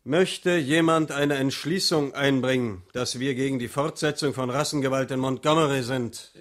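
A man's voice: mid-range voice, moderate pace, close to an everyday voice. An actor playing a formal civic chairman addressing a meeting in a radio play, speaking in an oratorical manner. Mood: stern, formal.

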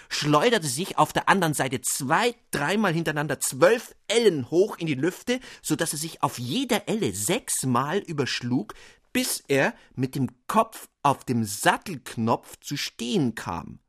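A man giving an expressive, scripted reading of a literary tale as narrator, with precise storytelling phrasing. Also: a little high, no room sound, studio quality. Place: dry studio.

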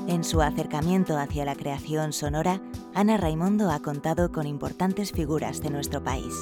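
A radio host reading scripted narration in a measured, introductory manner, with a mid-range voice. Music plays clearly in the background behind the narration. The voice sounds like a produced radio programme. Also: medium pitch.

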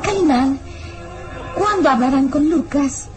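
A woman's voice: high-pitched, lively intonation, a little slow. A woman playing an anxious young heroine in a radio drama, with a breathless, melodramatic way of speaking. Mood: puzzled. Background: dramatic music.

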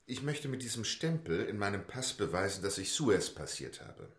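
An actor in a German radio drama playing an unflappable English gentleman, formal, clipped and courteous. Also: on the low side, expressive, normal speed, echoey room. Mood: calm, formal.

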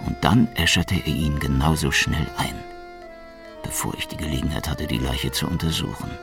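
A world-weary male secret policeman in a noir radio drama. His voice sits very low and crackles with gravel. He delivers everything with dry, cynical irony over clearly audible music. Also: steady tone, normal speed. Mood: brooding, dry. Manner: sardonic, dry. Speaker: actor and narrator in a radio play. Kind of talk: noir radio drama, hard-boiled first-person narration.

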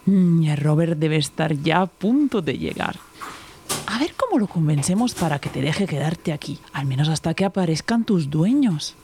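A woman's voice: low-pitched, lively intonation, moderate pace, darkish tone. Woman playing a chatty Valencian wife, noisy background. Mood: wistful. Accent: Valencian Spanish. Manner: colloquial, fond, exclamatory. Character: affectionate, chatty wife and mother, teasing and homely. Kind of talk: slice-of-life radio drama acting.

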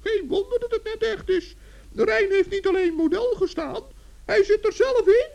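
A man voicing a small, cheerful, sensible male forest gnome with a squeaky, creaky voice in a children's radio play. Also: moderate pace, darkish tone. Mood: amused, explaining.

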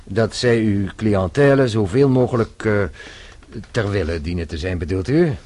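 An actor playing a shrewd, composed French police inspector in a radio play, speaking formally with measured insistence. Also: male voice, fairly low pitch, lively intonation, a little mellow. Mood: stern, probing.

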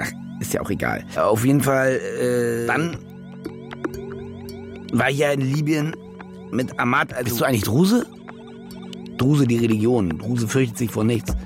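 An actor playing a cynical, self-justifying German war reporter in a radio drama, wry and rambling, with hesitations and restless bursts. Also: male voice, lowish voice. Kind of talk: naturalistic radio drama monologue and dialogue.

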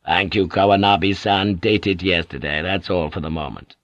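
A man voicing a powerful older warlord who gives curt orders in a flat voice. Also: low-pitched, moderate pace, dry recording, lo-fi sound, pitched below the performer's own voice. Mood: flat commanding. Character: powerful older male warlord, calm and commanding.

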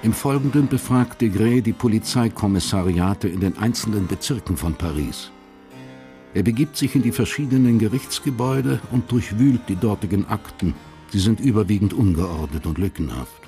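A man's voice: fairly low pitch, animated, normal speed, warm tone. A man reading scripted narration for a period crime radio drama in a sober, matter-of-fact way. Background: harpsichord music.

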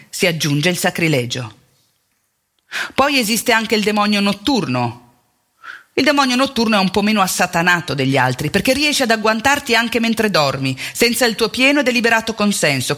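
A woman's voice: medium pitch, a bit bright. A woman delivering a theatrical monologue as narrator, with an emphatic, wry, mock-solemn manner. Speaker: stage actress and narrator.

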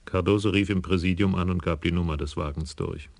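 A man narrating a crime radio play in an even, measured way. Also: sits low, gravelly, no room sound.

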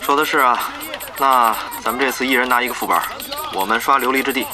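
A man's voice: mid-range voice, steady tone, fast talker, crowd noise, natural voice. A man playing a proud, stubborn online game guild leader in an audio drama. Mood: casual, doubtful.

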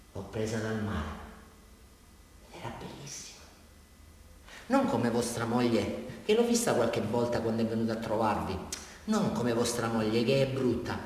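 An actor playing a deranged, naive murderer with a southern Italian accent, rambling and repeating himself childishly in a theatrical radio drama confession. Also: male voice, mid-range voice, close to an everyday voice. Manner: rambling, repetitive, childlike, addressing his listener. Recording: very echoey hall, far from mic.

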